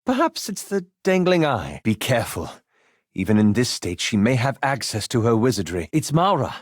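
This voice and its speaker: A man's voice: medium pitch, very animated, moderate pace, dry recording. A man voicing a scholarly male elf wizard in a video game, with precise, formal diction and a dry, wryly sarcastic manner. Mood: cautious, wry. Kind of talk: video game character dialogue.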